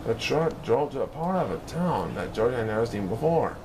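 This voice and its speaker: A man narrating a children's storybook in an expressive, deliberate storytelling manner with dramatic emphasis. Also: mid-range voice. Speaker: storybook reader. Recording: clear background noise.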